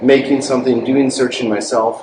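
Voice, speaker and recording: A man's voice: medium pitch, flat delivery, brisk. A man speaking casually about his design work in a loose, rambling way full of fillers. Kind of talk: casual interview reflection. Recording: roomy with audible noise.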